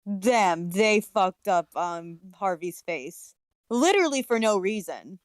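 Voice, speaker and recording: A woman's voice: medium pitch, lively intonation, takes it slowly, clean tone, no room sound, clean studio sound. A woman co-hosting a casual discussion, talking in a sarcastic, critical way. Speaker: podcast co-host. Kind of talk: casual comic-book discussion.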